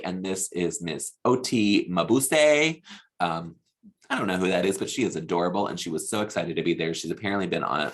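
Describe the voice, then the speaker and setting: Low, creaky-voiced man chatting casually. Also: fairly bright tone. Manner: chatty, gossipy, opinionated. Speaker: podcast host reviewing a TV show. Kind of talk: casual recap commentary.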